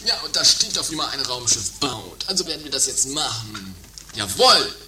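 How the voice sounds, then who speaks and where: A man's voice: medium pitch, big pitch swings, moderate pace, close to an everyday voice. A man playing an eager, bossy, theatrical self-appointed spaceship commander in an amateur comedy radio play, with dramatic exclamations. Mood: pompous, eager. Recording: roomy, noisy 1980s amateur tape.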